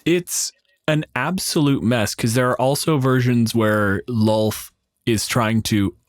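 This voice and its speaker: A man's voice: medium pitch, takes it slowly, no room sound. A man hosting a podcast in casual chat with dry, wry humour. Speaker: podcast host.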